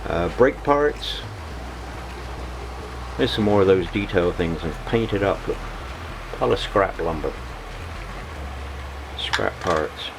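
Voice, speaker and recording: A man giving a casual, unscripted hobby vlog narration in a halting way, often repeating words. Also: sits low, lively intonation, background noise.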